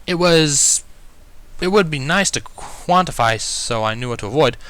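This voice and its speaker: A man narrates a first-person story as a fan fiction reader. His voice is bright and crisp-edged, with lively rises and falls. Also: on the high side, unhurried, no echo.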